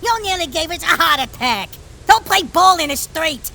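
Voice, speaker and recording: A woman playing an indignant, scolding bystander in an animated comic dub. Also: extremely high pitch, moderate pace, vocal fry, slight background noise, full character voice.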